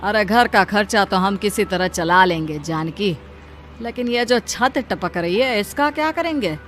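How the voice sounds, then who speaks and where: A woman's voice: medium pitch, animated, a little slow, a bit bright, faint music behind. A woman playing a worried, protective poor labourer husband in a Hindi moral cartoon story. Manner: fretful, protective.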